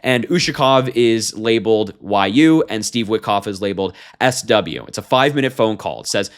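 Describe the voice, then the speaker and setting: A man's voice: fairly low pitch, animated, moderate pace. A man hosting a political commentary show, reading out reports and reacting with emphatic, indignant disbelief. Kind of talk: reading a transcript aloud with opinionated commentary.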